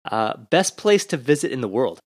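A man hosts an interview podcast, moving briskly through quick questions with an upbeat, easygoing manner. His voice sits in the middle range. It is recorded dry and close, with almost no room sound. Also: mid-range voice, big pitch swings, no room sound. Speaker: podcast host. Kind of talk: interview podcast with a rapid-fire question round.

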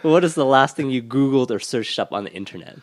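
Upbeat podcast host, dry sound. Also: male voice, medium pitch, lively intonation, dry recording. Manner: upbeat, laughs easily, quick questions. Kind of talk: interview podcast with a rapid-fire question round.

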